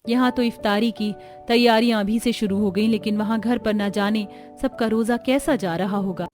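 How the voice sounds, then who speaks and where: A woman's voice: mid-range voice, fairly even intonation, normal speed, darkish tone, faint music behind, natural voice. A woman voicing a humble, anxious young bride from a poor family in a cartoon story, earnest and deferential. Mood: worried.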